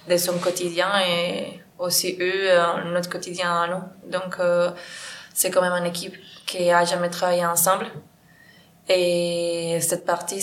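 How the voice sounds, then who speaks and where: A woman from Colombia speaks in a low, very level voice with little rise and fall. She is a trapeze artist, and she answers the questions casually, pausing often on filler sounds. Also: slight background noise. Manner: hesitant, frequent fillers.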